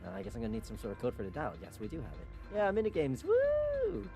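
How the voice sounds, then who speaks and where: A man playing a game and commentating casually, swearing and getting excitable as he goes. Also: fairly high pitch, fast. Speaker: streamer, main player. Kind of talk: casual gameplay commentary and dramatic read-aloud. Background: background music.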